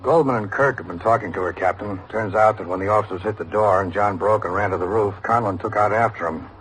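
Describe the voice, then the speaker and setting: An actor playing a businesslike police officer reporting in an old-time radio detective drama. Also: male voice, lowish voice, fast talker, fairly bright tone, lightly acted. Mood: matter-of-fact. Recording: noisy vintage radio drama recording.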